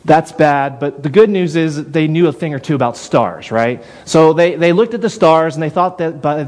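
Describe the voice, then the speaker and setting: A man's voice: fairly high pitch, moderate pace, darkish tone. A man preaching a sermon in a conversational way, often repeating and restarting words. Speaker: pastor.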